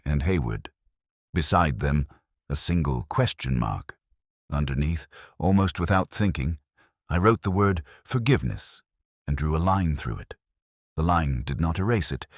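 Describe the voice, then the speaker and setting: A man playing a weary, brooding police inspector who narrates a mystery in a measured, literary, melancholy manner. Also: rumbling low, dry recording, studio quality, heavily acted. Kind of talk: scripted first-person mystery narration.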